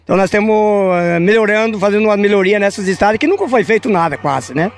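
A man's voice: high-pitched, animated, fast, slight background noise. An older man with a Brazilian Portuguese accent, a public works official, answering questions outdoors on a dirt road with hesitant restarts. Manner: plain, repetitive with hesitant restarts. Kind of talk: interview answers. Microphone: reporter's handheld microphone.

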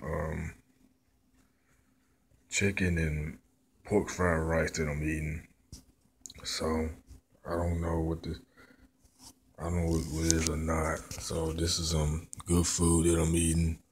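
A man with a Memphis Southern accent talks in a very low, even drone, rambling and repeating himself as he reviews food while eating. He films himself on a phone held at arm's length in a plain indoor room. A faint hiss sits under his voice. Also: slow pace, vocal fry, pauses often. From born in Memphis, raised in Mississippi. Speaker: vlogger. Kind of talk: casual food review vlog. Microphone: handheld phone camera at arm's length.

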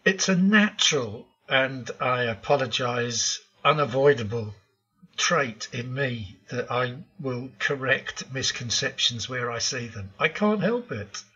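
An older man talks through his slides with blunt opinions and plenty of ums. His voice is bright and edgy but very husky. The voice-over sounds muffled and lo-fi. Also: mid-range voice, expressive, slow. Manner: opinionated, frank, with frequent ums. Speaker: programming tutorial host. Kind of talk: narrated slide lesson.

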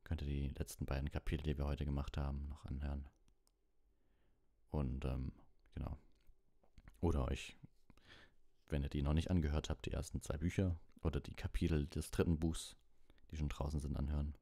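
A man with a low, dark, relaxed voice reads in the style of a bedtime audiobook livestream. The sound is very dry and close. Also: moderate pace, long pauses, no echo. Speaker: audiobook reader and livestream host. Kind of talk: bedtime audiobook narration and soft sign-off.

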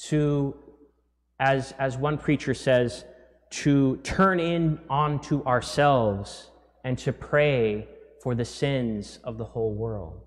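Conversational priest in a reverberant church. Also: male voice, mid-range voice, slow pace. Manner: conversational, gesturing, with filler words and restarts. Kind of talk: sermon. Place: large church, indoors.

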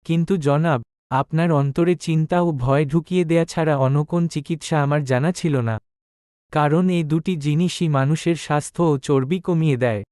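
A man voicing a shrewd, courteous village physician in an animated moral tale, speaking formally and with measured deference. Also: fairly high pitch, smooth, no room sound, studio quality, lightly acted. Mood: humble, explanatory.

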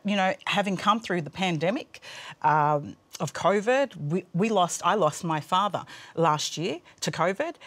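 A woman in her thirties from Australia, co-hosting a church broadcast in a studio, chatty with fillers and laughs. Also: lowish voice. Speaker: co-host. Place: studio with blue screens.

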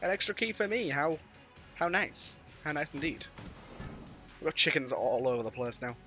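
British male gaming YouTuber, bright slightly raspy voice with a cold, playful casual commentary over game music. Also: on the high side, lively intonation, normal speed. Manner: playful, mildly sweary. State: has a cold. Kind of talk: casual let's-play commentary.

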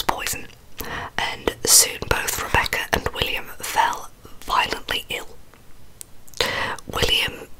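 A woman in her thirties tells a scripted story in a breathy, unvoiced ASMR whisper, close to the microphone in a small bedroom. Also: bright, forceful tone, poor audio. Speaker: ASMR host. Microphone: large microphone close to the mouth.

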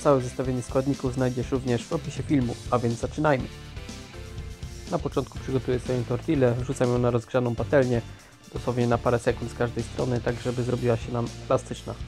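A man's voice: mid-range voice, little pitch movement, a little fast, darkish tone. A man giving scripted recipe narration as a cooking host. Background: guitar music.

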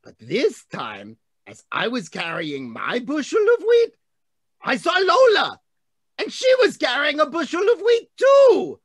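High, excitable dinosaur puppet. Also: male voice, measured pace, dry recording, full character voice. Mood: animated. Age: middle-aged. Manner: exaggerated, theatrical delivery. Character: excitable, big-hearted male dinosaur hand puppet. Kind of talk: puppet storytelling for children. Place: room at home. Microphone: video call, computer mic.

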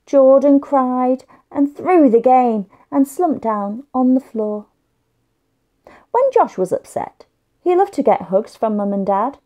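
Slow, high-voiced woman storyteller. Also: expressive, dark tone, lo-fi sound. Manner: careful, storytelling delivery for young children. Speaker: children's story reader. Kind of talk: expressive read-aloud of a picture book.

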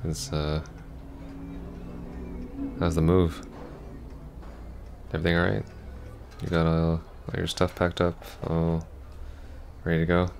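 A man playing an awkward, apologetic young ex-boyfriend in an ASMR roleplay, halting and stammering. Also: deep voice, completely flat delivery, creaky, a little mellow, a little hiss or hum, heavily acted. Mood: awkward, wistful. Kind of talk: ASMR roleplay, soft intimate acting.

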